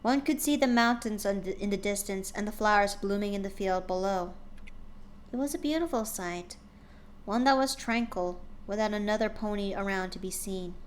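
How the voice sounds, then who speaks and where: A woman streamer talking casually with her chat and narrating a fanfic, often halting with fillers and restarts. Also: mid-range voice.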